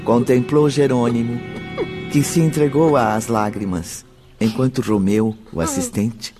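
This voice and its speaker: A Brazilian man narrates a radio drama over clear background music. His medium-pitched voice is formal and literary, reading scripted prose. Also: unhurried. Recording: music behind the voice.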